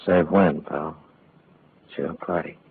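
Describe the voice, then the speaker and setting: An actor playing a cold-blooded male gangster in a 1940s radio drama, quiet, sneering and menacing. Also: sits low, steady tone, slow, a little hiss or hum. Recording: tinny old radio broadcast.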